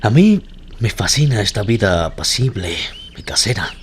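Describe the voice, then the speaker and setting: An actor playing a polite, admiring gentleman doctor in a radio drama, attentive and gently probing. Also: on the low side, sing-song, moderate pace, a little hiss or hum. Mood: charmed, genial.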